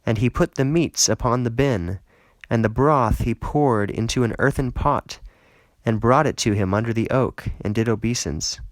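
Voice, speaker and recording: A man reading scripture aloud as an audiobook narrator, measured and solemn, lifting into emphasis for quoted speech. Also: mid-range voice, no room sound. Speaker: audiobook reader.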